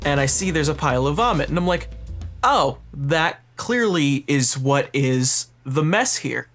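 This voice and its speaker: Higher-voiced young man swapping crude stories. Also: a little slow, soft background music. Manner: profane, animated acting out of remembered dialogue. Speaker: podcast storyteller. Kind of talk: casual anecdote telling among friends.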